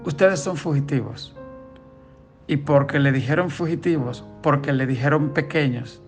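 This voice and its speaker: A man's voice: on the high side, normal speed, darkish tone, soft background music. A man with a southern Dominican accent, a pastor giving a daily devotional sermon, reading scripture and explaining it in a conversational preaching tone. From southern Dominican Republic.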